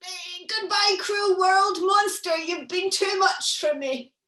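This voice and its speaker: A sly old granny shrilly hamming up her pleas, as a woman puts her on. Her cries climb high and theatrical in a room that rings with echo. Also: fairly even intonation, slow, bright, forceful tone, recorded from a distance, full character voice. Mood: mock pleading. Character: crafty old granny, mock-pleading.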